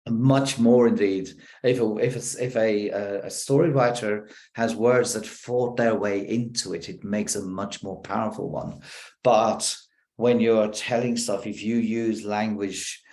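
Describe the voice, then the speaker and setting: A lower-voiced Irish man leading a communication workshop over a video call. He talks in a relaxed, conversational way and races ahead in quick bursts before settling into deliberate pauses. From Ireland. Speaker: professor giving a communication workshop. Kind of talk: conversational training talk.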